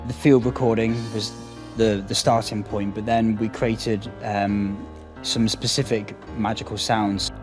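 A young man explains his sound work in a casual panel discussion. His voice is fairly low, with guitar music clearly playing behind him. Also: level tone, measured pace. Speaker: sound designer. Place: book-lined library room, seated. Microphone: microphone on a stand.

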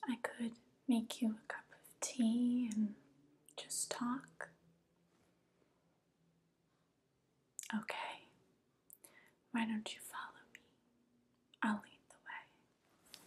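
A young woman playing a softly coaxing evil witch in an ASMR roleplay, whispering slowly and gently, close to the microphone. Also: a little high, exaggerated voice. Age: young adult. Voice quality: breathy whisper. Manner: slow, gentle, flattering, falsely kind. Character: soft, coaxing evil witch luring a guest.

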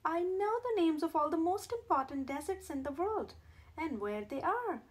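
An earnest schoolboy from a children's storybook, read by a woman, shy by nature but speaking up. The voice is very high and emphatic. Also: sing-song, moderate pace. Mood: proud, eager. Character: shy, earnest schoolboy finding his confidence.

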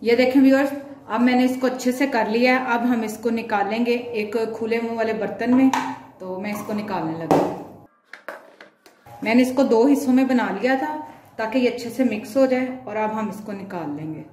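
A woman's voice: fairly high pitch, normal speed. A woman with a Pakistani Urdu accent hosting a cooking video, guiding viewers step by step in a home kitchen. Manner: addresses viewers directly, instructive. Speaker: cooking channel host. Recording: echoey room, distant mic, faint noise.

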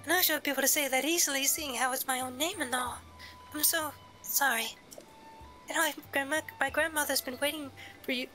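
Man acting a shy young game heroine, high bright voice, stammering, timid, apologetic, music behind. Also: fairly even intonation, a little fast, exaggerated voice. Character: shy, flustered young woman in fantasy game.

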